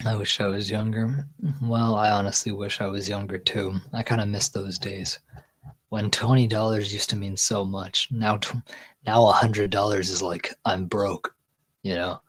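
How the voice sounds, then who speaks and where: A man's voice: lowish voice, level tone, a little slow, long pauses. Texan man chatting with stream viewers. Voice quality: chest voice. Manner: reads chat comments aloud and answers them, rambling with restarts. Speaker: livestream host. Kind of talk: casual chat with viewers.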